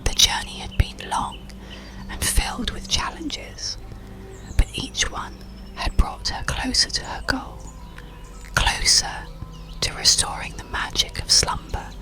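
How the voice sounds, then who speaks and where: Slow, creaky whispered bedtime narration. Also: medium pitch, wildly swooping pitch, soft background music. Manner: slow, soothing, hushed. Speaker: audiobook narrator.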